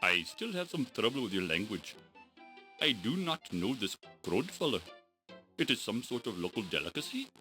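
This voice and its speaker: A man's voice: lowish voice, big pitch swings, moderate pace, pushed and bright, faint music behind. An actor playing a stern, proud Egyptian male scholar who speaks in formal, solemn and menacing pronouncements, in a 1990s adventure game.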